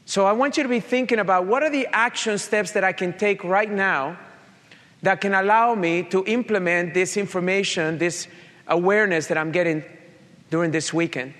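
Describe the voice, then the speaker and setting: A man's voice: sits high, normal speed, fairly bright tone, long reverb tail. A man from Colombia with a Colombian accent touched by Italian, giving an earnest motivational talk and speaking directly to his audience. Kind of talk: motivational talk with personal story.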